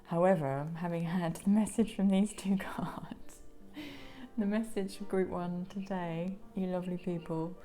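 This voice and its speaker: A woman with a croaky voice giving a gentle pick-a-card tarot reading, slipping into soft whispers now and then. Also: on the low side, fairly even intonation, a little slow, faint music behind. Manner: gentle, soothing, drops into whispers.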